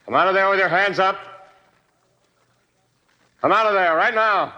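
A man's voice: high voice, normal speed, bright, forceful tone, lo-fi sound. A man acting a tough police lieutenant in a 1940s radio crime drama, clipped and authoritative. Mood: shouting commands.

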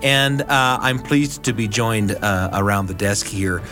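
A man's voice: fairly low pitch, measured pace, bright, forceful tone, faint music behind. A man hosting a show, introducing guests and segments in a casual, slightly hesitant conversational way. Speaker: show host. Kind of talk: casual studio interview and introduction.

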